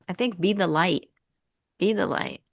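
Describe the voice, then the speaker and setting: A woman's voice: fairly low pitch, measured pace, no echo. A woman podcast host and marriage coach, speaking earnestly and encouragingly over a video call. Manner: earnest, encouraging, repetitive exhortations. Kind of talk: reflective coaching talk.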